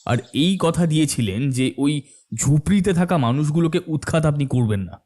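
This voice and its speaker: A man's voice: fairly high pitch, animated, moderate pace, a little mellow. A man acting as an earnest young villager in a cartoon, challenging and indignant. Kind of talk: cartoon story dialogue.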